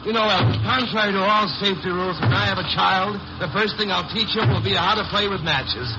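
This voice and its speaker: An actor playing a steady, wry lawyer-sleuth hero in a 1950s radio crime drama. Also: male voice, sits high, a little fast, over loud music. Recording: muffled, noisy old radio recording.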